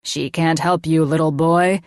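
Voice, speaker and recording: A woman voicing a playful man who pretends to be a menacing mad scientist, in slow, hammy, drawn-out words for a storybook. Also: sits low, fairly even intonation, a little mellow, dry recording, studio quality. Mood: mock threatening.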